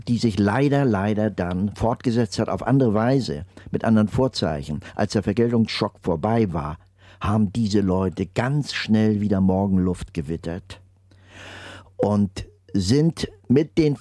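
An older man giving a slow, deliberate testimony in an interview, his phrases stressed and often trailing off into near-whispers. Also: on the low side, dark tone, no room sound, studio quality.

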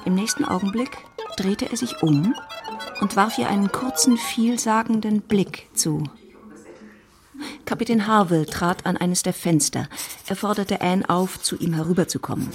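A woman narrating a German literary radio play in a composed, measured storytelling manner. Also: medium pitch, faint music behind.

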